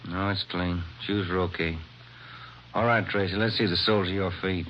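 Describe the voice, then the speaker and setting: An actor playing a male police detective partner who talks in a brisk, plain-spoken way, in a 1950s radio drama. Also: low voice, steady tone, fairly bright tone, slight background noise. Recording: dull old radio broadcast with music.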